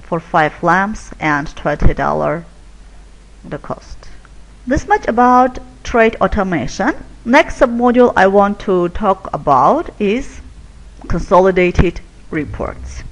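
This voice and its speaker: A woman presents business software in a webinar, guiding listeners through each screen in a steady, step-by-step way. Her pitch rises and falls freely across sentences. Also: slow pace, rough recording.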